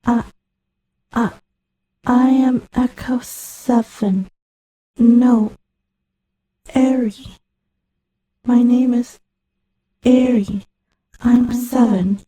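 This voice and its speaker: A woman playing a very soft, lonely machine child. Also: a little high, fairly even intonation, rough recording. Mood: lonely, pleading. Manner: slow, halting, pleading. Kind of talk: scripted audio drama monologue.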